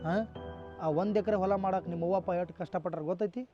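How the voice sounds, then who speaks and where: ಉತ್ತರ ಕರ್ನಾಟಕದ ಉಚ್ಚಾರಣೆಯ ಒಬ್ಬ ಗಂಡಸು, ಕಾಳಜಿಯುಳ್ಳ ಹಿರಿಯನ ಪಾತ್ರದಲ್ಲಿ ದೃಢವಾಗಿ ಬುದ್ಧಿ ಹೇಳುತ್ತಾನೆ. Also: male voice, high-pitched, fairly even intonation, fast, lightly acted. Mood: lecturing. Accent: North Karnataka Kannada. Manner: lecturing, firm, earnest. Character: stern but caring elder-brother mentor man. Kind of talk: short film drama. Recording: slightly dull with faint music.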